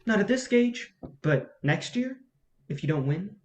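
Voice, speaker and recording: An actor in a staged reading playing a blunt, defiant film campaign colleague who talks insistently. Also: male voice, sits high, lively intonation, measured pace. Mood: frustrated, confrontational.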